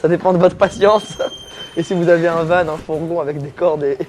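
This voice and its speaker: Young man, comedian guest, teasing and self-mocking; slightly high voice; noisy room tone behind. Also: steady tone, brisk, darkish tone. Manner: talks while lying down. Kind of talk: joking interview chat.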